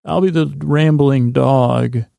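A man hosting a podcast with a meandering, halting bedtime monologue that keeps drifting off track, in a gravelly, creaky voice. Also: mid-range voice, steady tone, warm tone, no echo, clean studio sound. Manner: meandering, halting, digressive, loses his thread. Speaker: podcast host. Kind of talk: rambling bedtime monologue meant to help listeners sleep.

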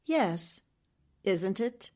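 A woman's voice: medium pitch, lively intonation, drawn-out words, darkish tone. A woman playing a shrewd, sympathetic young newspaperwoman in an old-time radio drama, wry and poised. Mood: amused. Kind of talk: 1940s-style radio drama acting.

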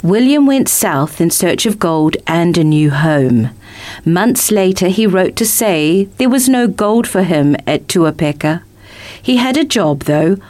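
A woman narrates a children's picture book. Her voice sits a little low, and she reads the scripted story as its storyteller. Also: darkish tone.

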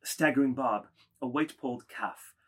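A man's voice: medium pitch, normal speed, a bit bright. A man reading stage directions as scripted literary narration.

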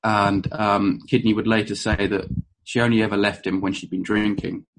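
A man's voice: lowish voice, flat delivery, moderate pace, noisy background. A man giving an informal history talk to a room, full of ums and uhs, self-correcting and dropping wry asides. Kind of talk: informal spoken presentation to a live audience.

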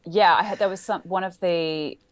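A woman's voice: on the low side, fairly even intonation, moderate pace. A woman from Australia who has lost most of her accent, a guest chatting casually and self-deprecatingly on a podcast. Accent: British with faint Australian traces. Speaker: guest actor and comedian.

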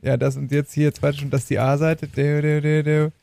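A man's voice: fairly high pitch, little pitch movement, normal speed, creaky, dark tone. A man co-hosting a podcast, talking in a casual, anecdotal conversational way. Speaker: podcast co-host, musician. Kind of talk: casual chat, telling jokes.

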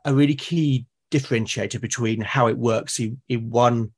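A man's voice: medium pitch, steady tone, normal speed, slightly rough. A man in a conversational interview as a podcast guest, explaining his creative process and often repeating words as he thinks aloud. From Britain.

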